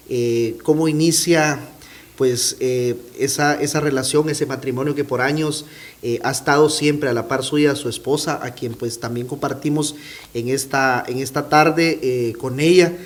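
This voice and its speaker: A man hosting a TV interview, asking formal, polite questions with frequent hesitations. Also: mid-range voice. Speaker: TV interviewer, host.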